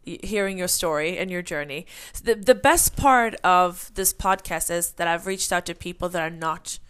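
A woman with a fairly low voice for a woman runs a casual podcast interview. She asks her questions plainly and keeps her guest going with short, encouraging reactions. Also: moderate pace, fairly bright tone, clean tone. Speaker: podcast host.

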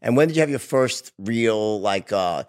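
A man's voice: fairly low pitch, expressive, normal speed, smooth. A man hosting a casual podcast interview, asking long rambling questions with many restarts. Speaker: podcast host.

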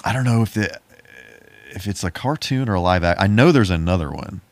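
Male podcast co-host, deep-voiced, relaxed and conversational, dry studio-like sound. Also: fairly quick, no echo. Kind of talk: casual chat about movies.

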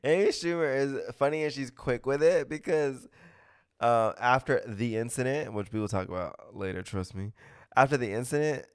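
A man's voice: mid-range voice, moderate pace, smooth. A man hosting a podcast in a casual, gossipy chat, talking with a stuffy nose and gushing, opinionated comments. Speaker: podcast host.

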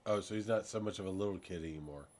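A man's voice: deep voice, very fast, a crowd in the background. A man in a casual chat, cracking teasing, dry jokes at his friends.

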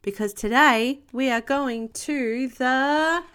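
A woman reads as a patient female gazelle who teaches playgroup in a children's storybook. Her voice is high, encouraging and clearly instructive. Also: takes it slowly, fairly bright tone.

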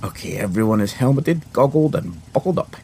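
A man telling an adventure story to children in a playful, animated style with comic understatement. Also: medium pitch, fairly quick, a little hiss or hum. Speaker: podcast host and storyteller.

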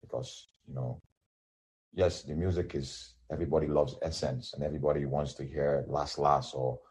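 A man's voice: very deep voice, fairly even intonation, moderate pace, darkish tone, slightly rough. A man in his thirties whose first language is Yoruba, an independent musician talking loosely in an interview with lots of restarts and fillers. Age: 30s. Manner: rambling, many restarts and fillers. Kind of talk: casual interview.

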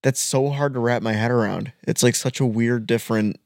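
A man's voice: mid-range voice, steady tone, fairly quick, gravelly, darkish tone, no echo, clean studio sound. A young man co-hosting a podcast who asks wondering questions, his speech full of "like" as he talks. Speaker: podcast co-host. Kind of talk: casual chat.

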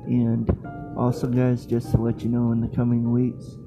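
A man hosting a podcast, reading an outro in halting, short phrases. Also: medium pitch, completely flat delivery. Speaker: podcast host. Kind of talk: scripted podcast sign-off. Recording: dull lo-fi audio. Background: prominent plucked guitar music.

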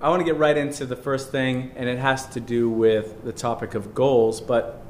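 A man's voice: medium pitch, steady tone, moderate pace, a little hiss or hum. A man hosting a conversational interview, asking questions in a friendly way and answering with short sounds of agreement. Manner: friendly, with short backchannel replies. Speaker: interviewer, host.

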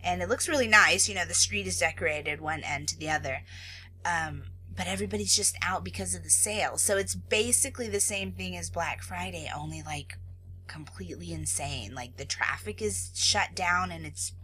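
American woman cohosting, lowish voice but bright, laughs a lot. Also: moderate pace, slight background noise, rough recording. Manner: chatty, laughs often, excited retelling. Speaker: podcast co-host. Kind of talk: casual chat.

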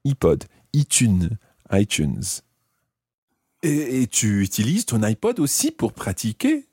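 A man hosting a language lesson, explaining in a deliberate way and repeating phrases word by word. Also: mid-range voice, huge pitch swings, a little mellow, breathy edge, dry recording, studio quality. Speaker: language teacher and podcast host.

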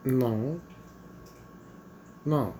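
A woman's voice: extremely low pitch, extremely slow, a little hiss or hum, heavily acted. A woman voicing a stubborn, dismissive young woman as the country Croatia in a fan comedy podcast. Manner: flatly denying, evasive, offhand.

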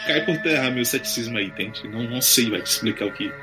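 A man's voice: mid-range voice, fast talker. A man with a Brazilian Portuguese accent, chatting casually and speculating aloud in slangy speech. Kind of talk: casual chat. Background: background music.

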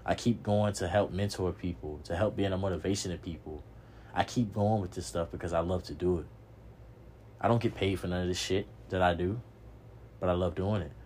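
A man's voice: sits low, steady tone, brisk, darkish tone, slight background noise. A young man hosting a podcast, giving a preachy motivational monologue, repeating himself and swearing casually. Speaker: podcast host.

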